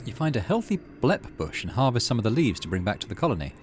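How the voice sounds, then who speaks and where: A man's voice: mid-range voice, very animated, fast, a little hiss or hum. A man hosting a gaming video, mixing casual commentary with reading the game's narration aloud. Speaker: gaming channel host.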